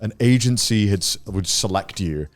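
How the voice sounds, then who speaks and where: A man hosting a podcast in casual chat, reacting and asking questions in an animated way. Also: fairly low pitch, moderate pace, no echo, soft background music. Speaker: podcast host.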